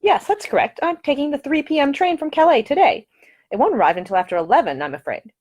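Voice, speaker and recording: Chatty young English gentlewoman voiced by a female narrator: raised pitch, very animated, cheerful, solicitous, audiobook romance. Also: fast talker. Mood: bright, chatty. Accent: English, upper-class. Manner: eager, solicitous, talkative.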